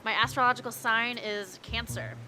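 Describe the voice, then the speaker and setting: Giggly, animated young-sounding woman. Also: on the high side, normal speed, loud music behind the voice, poor audio. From Ohio. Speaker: interviewee. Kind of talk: street interview answers.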